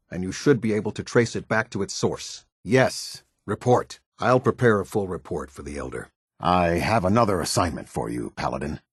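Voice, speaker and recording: A stern game captain with a low, husky voice. He is disciplined and formal, clipped in his phrasing yet lively in his intonation, and every line carries authority. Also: dry recording, studio quality. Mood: stern, commanding. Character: stern, disciplined male military captain giving orders. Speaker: video game voice actor. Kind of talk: scripted video game dialogue.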